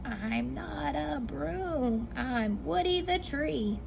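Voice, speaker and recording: A woman reading a storybook aloud, voicing a gentle, patient female talking tree in a soft, slow, hushed way. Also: high voice, expressive, creaky, a little hiss or hum, full character voice. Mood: calm.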